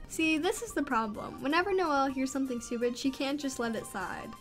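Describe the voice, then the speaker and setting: A woman's voice: high voice, fairly quick, soft background music. A woman reading a visual novel aloud as a gaming channel host, with chatty, amused asides.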